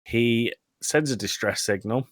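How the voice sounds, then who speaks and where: A man's voice: mid-range voice, unhurried, fairly bright tone, no echo, clean studio sound. A man hosting a casual film review chat in a blunt, sweary, exasperated way. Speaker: podcast host.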